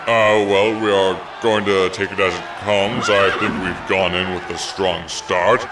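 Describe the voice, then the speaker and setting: Deep pompous apocalyptic horseman, played by a man. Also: fairly even intonation, measured pace. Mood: hesitant sportsmanlike. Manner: pompous, then hesitant like an interviewed athlete. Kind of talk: sketch comedy radio interview. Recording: noisy, distant outdoor field-report sound.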